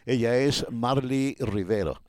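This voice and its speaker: A man's voice: mid-range voice, slow pace, a little mellow, no echo, clean studio sound. A man hosting a podcast interview, introducing his guest with courteous, elaborate phrasing. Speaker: podcast host.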